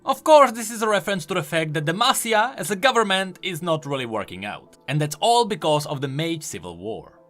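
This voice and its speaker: A man's voice: fairly high pitch, very animated, brisk, few pauses, a bit bright, soft background music. A man giving enthusiastic, emphatic explanatory commentary on video game lore.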